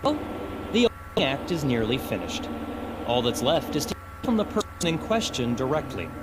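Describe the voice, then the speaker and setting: A man's voice: mid-range voice, very animated, normal speed, heavy background noise, lightly acted. An actor playing a cold, haughty young male student in an English game dub, condescending and accusatory. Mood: disdainful.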